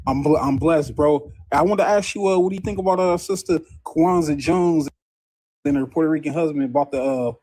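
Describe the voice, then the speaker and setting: A man's voice: on the high side, brisk, mellow. A man calling in to a live stream, chatting in a friendly, hesitant way. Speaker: caller. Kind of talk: casual call-in chat.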